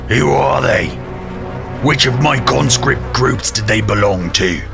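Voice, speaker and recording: Gravelly, slow, menacing officer. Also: male voice, mid-range voice, animated, music in the background, heavily acted. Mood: threatening, impatient. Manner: slow, threatening, commanding. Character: brutal, menacing, commanding military officer. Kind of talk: audio drama villain acting.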